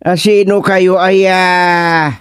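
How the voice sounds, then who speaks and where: Slow, flustered old Ilocano councilman played by a man. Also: high voice, little pitch movement, a crowd in the background. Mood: confused. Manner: halting, muttering, full of exclamations. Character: flustered, muddled senior village councilman and father-in-law. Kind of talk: Ilocano radio comedy drama acting.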